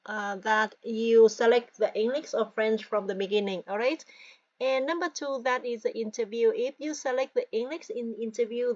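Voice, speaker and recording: A woman's voice: fairly high pitch, no room sound, muffled recording. Vietnamese-accented woman guiding a form walkthrough. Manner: frequent "all right?" and "guys", patient step-by-step. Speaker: tutorial presenter. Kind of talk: screen-recorded form walkthrough.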